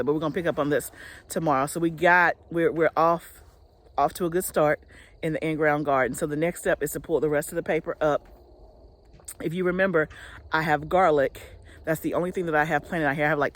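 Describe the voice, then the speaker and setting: A middle-aged woman vlogging to camera from a farm field, chatting casually and calling her viewers y'all, holding her phone at arm's length. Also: low voice. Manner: chatty, keeps addressing viewers as y'all, frequent restarts. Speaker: homestead vlogger. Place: outdoors on a farm field by a garden fence. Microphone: phone held at arm's length.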